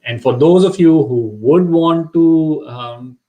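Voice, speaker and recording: A man's voice: fairly high pitch, slow pace, mellow, poor audio. A middle-aged man whose first language is Urdu, giving earnest advice on immigration in a live session, with many fillers and repeated words. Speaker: immigration consultant.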